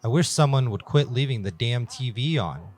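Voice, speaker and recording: A man's voice: medium pitch, animated, normal speed, a little mellow, voice pushed up, close to an everyday voice. A man voicing an irritable, frightened young woman in a horror story, muttering and swearing. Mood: irritated. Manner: muttering, profane.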